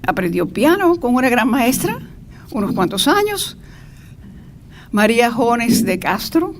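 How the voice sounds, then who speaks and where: A woman's voice: fairly high pitch, very animated, measured pace. An older woman with a Cuban accent giving an informal, chatty introductory speech with playful asides. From Cuba. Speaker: speaker introducing a lecturer. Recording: noisy live event recording.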